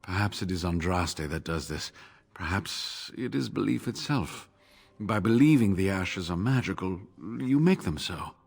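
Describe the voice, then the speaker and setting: A husky, earnest old scholar. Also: male voice, low-pitched, expressive, full character voice. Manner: measured, formal speech. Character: earnest older male scholar, devout and driven. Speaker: game character. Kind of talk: voiced video game dialogue.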